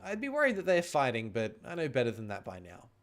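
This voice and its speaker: Animated male game streamer over music. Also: medium pitch, fast talker. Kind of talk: livestream chat and read-aloud game commentary.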